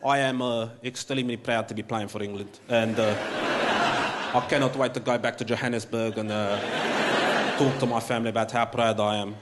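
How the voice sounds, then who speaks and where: A man's voice: mid-range voice, steady tone, a little fast, very noisy, voice pushed down, lightly acted. A man playing an earnest, hesitant male cricket player in a stand-up parody of an interview, solemn and sincere. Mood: solemnly proud.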